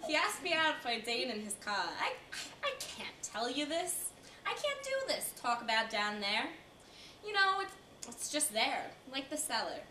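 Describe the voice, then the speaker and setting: A woman's voice: sits high, very animated, normal speed, bright, forceful tone, exaggerated voice. A young woman on stage playing a reluctant, embarrassed old American lady who reminisces in a flustered, confiding way. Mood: wistful. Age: young adult. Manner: flustered, confiding, dramatic stops and starts. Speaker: student stage performer. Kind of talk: theatrical stage monologue. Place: indoor college performance before audience. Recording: echoey room, distant mic, faint hiss.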